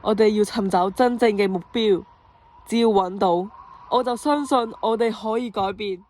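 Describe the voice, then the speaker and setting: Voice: mid-range voice, normal speed, darkish tone, natural voice. Resolute male patriot general, amateur actor, declamatory and earnest, medium register, radio drama. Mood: earnest, determined.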